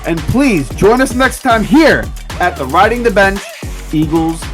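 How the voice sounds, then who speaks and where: A woman's voice: mid-range voice, expressive, a little slow. Female outro voice, upbeat singsong read over faint music. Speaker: outro announcer.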